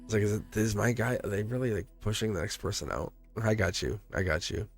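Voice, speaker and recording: A man's voice: on the low side, fast, faint music behind. Chatty, laughing male tarot streamer. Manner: chatty, laughs often, teasing. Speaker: tarot reader. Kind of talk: live-stream tarot reading.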